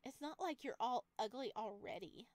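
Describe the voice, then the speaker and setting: A woman's voice: fairly high pitch, moderate pace, darkish tone, no room sound, close to an everyday voice. A woman voicing a smug, taunting teenage mean girl in a visual novel, sugary and condescending. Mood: mocking.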